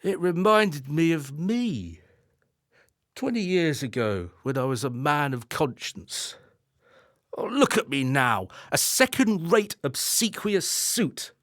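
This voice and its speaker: A rueful, self-pitying husband in a radio drama, disillusioned and weak. His voice is fairly high and drags slowly, with big swings of pitch in a dry, close sound. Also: big pitch swings, a bit bright, dry recording, exaggerated voice. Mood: ashamed, rueful. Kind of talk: radio play acting.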